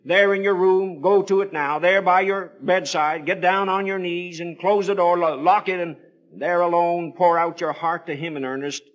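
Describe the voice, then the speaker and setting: A man's voice: sits high, fairly even intonation, moderate pace, muffled recording. A man preaching on the radio in a solemn, pleading, theatrical manner, telling Bible stories with formal, old-fashioned scriptural phrasing. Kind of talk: dramatic evangelistic sermon storytelling.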